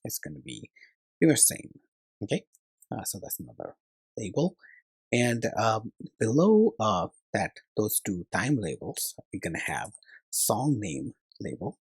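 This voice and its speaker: A man's voice: lowish voice, very animated, a little slow, creaky, husky, no echo, rough recording. A man narrating a step-by-step coding tutorial as its instructor, hesitantly thinking aloud as he works.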